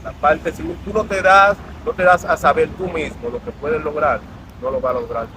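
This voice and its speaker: A man's voice: fairly high pitch, normal speed, bright, forceful tone, rough recording. A man, a guest telling his life story in an earnest, reflective way. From Dominican Republic. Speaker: interview guest. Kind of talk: personal storytelling in an interview. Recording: outdoor traffic noise.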